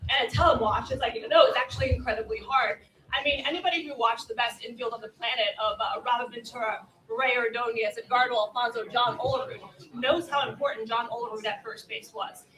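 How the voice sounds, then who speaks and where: A woman with a bright, sharp voice debates sports on a live panel. She is emphatic and skeptical, building points through repetition. The sound is echoey and a little away from the mic. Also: fairly high pitch, fairly even intonation, a little fast, recorded from a distance. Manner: emphatic, skeptical, repeats phrases and rhetorical questions. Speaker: baseball panelist. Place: live convention panel stage.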